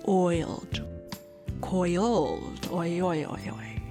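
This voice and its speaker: Lovesick craving woman, low mellow voice, extremely slow, sultry, lingering, parody advert. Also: very animated, creaky, over music, full character voice. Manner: drawn-out, lingering, repeats words. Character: lovesick woman swooning over sticky cinnamon buns.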